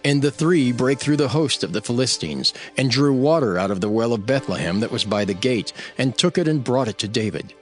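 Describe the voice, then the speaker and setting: A man narrating scripture from a script in a measured, formal manner. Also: mid-range voice, expressive. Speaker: Bible narrator. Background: background music.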